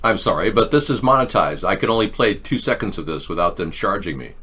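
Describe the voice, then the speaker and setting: A man's voice: fairly low pitch, expressive, fairly quick, over music. A man hosting a political commentary livestream, talking in a sarcastic, ranting way. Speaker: host.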